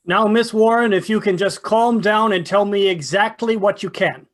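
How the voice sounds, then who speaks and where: Woman playing a sharp police inspector, old radio whodunit, medium pitch, formal and probing. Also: moderate pace, faint music behind, close to an everyday voice. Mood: probing, dry. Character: shrewd, accusing police inspector, formal and dry. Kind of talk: golden-age radio mystery acting.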